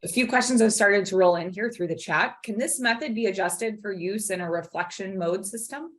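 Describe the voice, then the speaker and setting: A woman's voice: medium pitch, a little fast. A woman moderating an online webinar, relaying questions from the audience over a video call.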